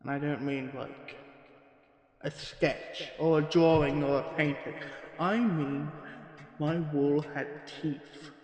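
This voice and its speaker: A man playing a terrified, unravelling witness in a horror audio drama, halting, stammering and repeating himself. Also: fairly high pitch, fairly even intonation, darkish tone. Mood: fearful, haunted. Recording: echoey room with long reverb.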